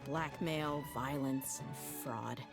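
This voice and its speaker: A woman's voice: a bass voice, slow pace, exaggerated voice. A woman playing a tough, easygoing desert mercenary in an anime game, frank and matter-of-fact. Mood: bitter resolve. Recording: game music behind the voice.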